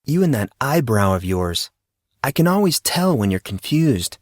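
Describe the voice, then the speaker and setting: Man voicing a calm, knowing teenage schoolboy. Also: medium pitch, no echo, clean studio sound, pitched above the performer's own voice. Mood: apologetic, familiar. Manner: calm, measured, apologetic. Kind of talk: acted audiobook dialogue.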